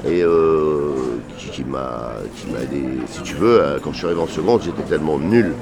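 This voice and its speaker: A man's voice: a bass voice, moderate pace, crowd noise. A man from Grenoble talking as a guest in casual chat, joking, interjecting often. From Grenoble, France. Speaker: guest artist.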